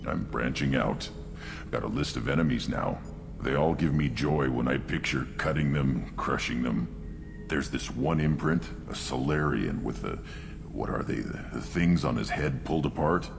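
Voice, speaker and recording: An actor playing a huge, bloodthirsty but loyal alien warrior in a video game, with a guttural, gravelly voice and blunt, halting delivery. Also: male voice, rumbling low, background noise. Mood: grim relish. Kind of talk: video game character acting.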